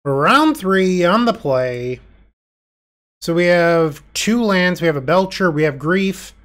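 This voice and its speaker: A man's voice: high voice, expressive, slow, a bit bright. A man with a congested, nasal voice from being sick, giving casual self-deprecating commentary on his own online card game. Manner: self-deprecating, thinking aloud. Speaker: gameplay commentator.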